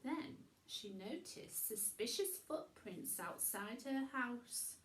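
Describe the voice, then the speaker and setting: A woman reading a children's bedtime story in an animated way, with chatty asides. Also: a little high, slow, roomy sound.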